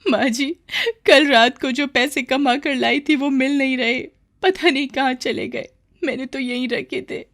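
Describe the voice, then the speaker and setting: A woman's voice: high voice, fairly even intonation, a little slow, a bit bright, voice pushed up. A woman voicing a long-suffering yet outspoken bangle-selling mother in a cartoon story, plaintive and emotional. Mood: distressed.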